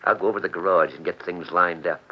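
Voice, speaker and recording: An actor playing a gruff, greedy racketeer boss in a 1940s radio crime drama, with slangy gangster talk. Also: male voice, deep voice, steady tone, fast talker. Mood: bossy, pleased. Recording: muffled old 1940s radio broadcast.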